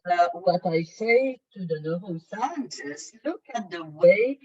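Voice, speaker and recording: A French-native woman, a professor presenting at a seminar, speaking in a measured, polite way with fillers, heard over a video call. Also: on the low side, animated, darkish tone, slightly rough, muffled recording. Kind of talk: academic discussion.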